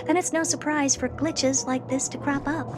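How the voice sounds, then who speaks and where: A woman's voice: high voice, fairly even intonation, normal speed, over loud music, natural voice. A woman playing a haughty, impatient genius scientist in a video game, curt, condescending and articulate. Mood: confident, explanatory. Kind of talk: anime-style video game voice acting.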